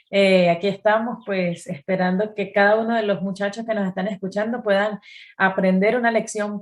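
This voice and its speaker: A woman guest on a youth Bible show, cheerful and encouraging. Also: medium pitch. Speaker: guest panelist.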